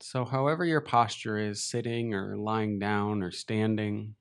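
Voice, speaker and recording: A man leading a beginners' body scan meditation, speaking slowly and gently with long pauses. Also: lowish voice, steady tone, clear, resonant tone. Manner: slow, gentle, unhurried guidance with long pauses. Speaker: meditation teacher. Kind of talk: guided meditation.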